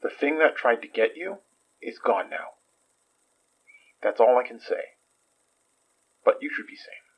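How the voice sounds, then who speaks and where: An actor playing a calm, reticent protector in an audio horror drama, speaking in a measured, soft, husky voice. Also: male voice, medium pitch, highs cut off. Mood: calm, guarded. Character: calm, guarded male protector reassuring a frightened victim.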